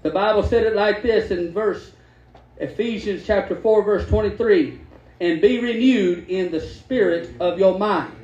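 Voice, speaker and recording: A man's voice: high voice, unhurried, darkish tone, highs cut off. A man preaching a fervent Pentecostal sermon, exhorting emphatically and repeating phrases while the congregation calls back. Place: church hall during a service. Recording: echoey hall with congregation responding. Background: congregation calling out responses.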